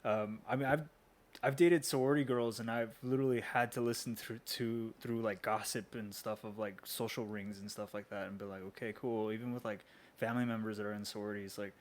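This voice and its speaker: A man's voice: on the low side, steady tone, a little fast. An American man chatting casually as a co-host, loose and informal, with many filler words. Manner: informal, hesitant phrasing peppered with "like". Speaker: podcast co-host. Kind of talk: casual chat.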